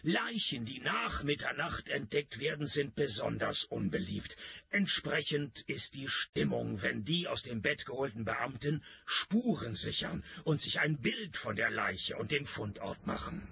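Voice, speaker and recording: An actor playing a weary, sardonic private detective in a radio play, with a dry, sarcastic delivery. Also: male voice, medium pitch, very animated, unhurried, vocal fry, pushed and bright, slightly rough, exaggerated voice. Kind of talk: radio play acting with first-person narration.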